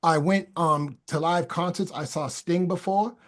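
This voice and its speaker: High-voiced man preaching fervently. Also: steady tone, a little slow, fairly bright tone. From Boston, USA. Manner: stammering restarts, repeats phrases for emphasis. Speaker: podcast guest. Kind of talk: casual talk building into fervent preaching.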